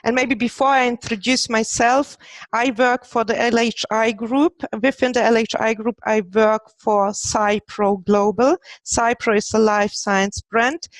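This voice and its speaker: A woman's voice: on the high side, dry recording. A woman in her thirties from Germany, answering conversationally as a recruiter guest on a podcast. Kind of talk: conversational interview. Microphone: headset on a video call.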